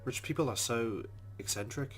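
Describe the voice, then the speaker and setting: A man's voice: medium pitch, normal speed. A man playing a visual novel on video, reading its narration aloud and adding wry, chatty asides. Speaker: let's play host. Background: game music.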